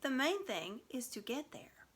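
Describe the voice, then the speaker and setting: A woman voicing a humble, gentle, sensible talking mare, meek and earnest, in a storybook reading. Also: high-pitched, sing-song, unhurried, slightly rough, pitched above the performer's own voice, exaggerated voice.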